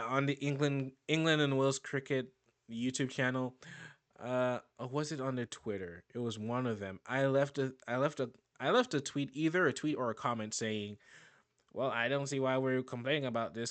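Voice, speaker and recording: A man's voice: mid-range voice, normal speed, fairly bright tone, smooth, dry recording. A man giving casual, rambling reaction commentary on a video, often restarting and laughing. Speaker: YouTube reaction host.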